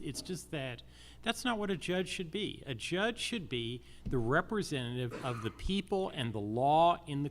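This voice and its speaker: An American man with a mid-pitched voice answers as a candidate for judge at a public forum. He speaks deliberately and drives points home by repeating himself emphatically. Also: slight background noise. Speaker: judicial candidate.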